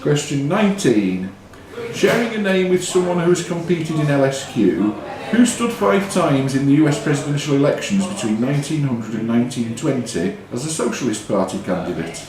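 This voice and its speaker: A man's voice: mid-range voice, big pitch swings, normal speed. A man acting as quiz master, reading questions aloud and ruling on answers. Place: quiz tournament in a pub. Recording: very echoey room with pub crowd.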